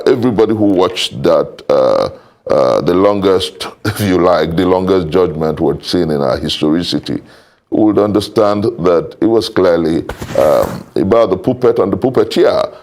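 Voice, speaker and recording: A Nigerian man speaking as a panel guest, giving political commentary in a deliberate, rhetorical way with formal wording. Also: sits low, a little mellow.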